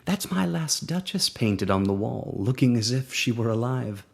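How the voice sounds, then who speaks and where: A man voicing a proud, coldly jealous aristocratic duke in a dramatic poetry reading, measured with sudden rises and near whispers. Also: medium pitch, sing-song, gravelly.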